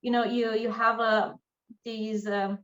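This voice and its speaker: A woman's voice: a little high, level tone, takes it slowly, a bit bright. A young woman born in Romania and raised in Germany and Spain, a podcast guest talking bluntly in casual chat, with the odd swear. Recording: roomy, slightly dull sound.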